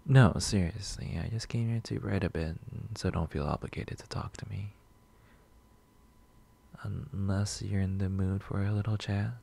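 A man playing a shy, sweet, nervous coffee shop regular in a soft-spoken ASMR roleplay, gentle, hesitant and rambling. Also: deep voice, steady tone, normal speed, gravelly. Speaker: roleplay audio performer.

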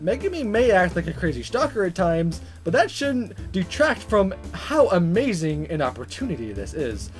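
A man's voice: sits high, normal speed, few pauses. A man reading a visual novel aloud in a playful, dramatic style, with joking asides and stumbles. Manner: animated, chuckling, stumbling over the text. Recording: music playing behind. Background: game music.

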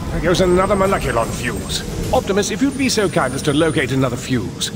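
An actor playing a polite, precise robot scientist in a video game, explaining technical matters in a courteous, articulate way. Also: male voice, on the high side, sing-song, quick pace. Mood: focused, polite. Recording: loud game music and machinery behind.

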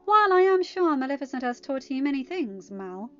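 A condescending young court official voiced by a woman in an audio story. She is brisk and patronizing in a very high voice, recorded a little dull and lo-fi. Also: very animated, faint music behind.

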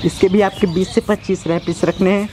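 A man's voice: sits high, fairly even intonation, brisk, background noise. A man in his twenties giving casual, instructive workout directions to viewers, often repeating his phrases. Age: 20s. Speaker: amateur home fitness instructor. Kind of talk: workout demonstration talking to viewers. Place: outdoors in a brick-walled yard or rooftop. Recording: loud music behind voice. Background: music.

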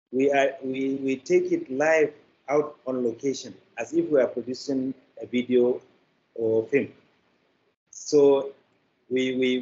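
An older man with a Nigerian accent explaining his work as an interview guest, in deliberate, halting phrases. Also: medium pitch, warm tone. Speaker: media producer, interview guest. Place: indoors, room. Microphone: video call. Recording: dull lo-fi video-call audio.

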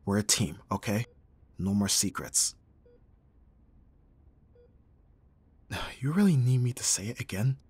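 Man acting a low husky bodyguard in love. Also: lively intonation, normal speed, no room sound. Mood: pained, tender. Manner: soft, intimate, sighing, teasing. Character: gruff but tender young male bodyguard in love. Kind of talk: ASMR roleplay acting.

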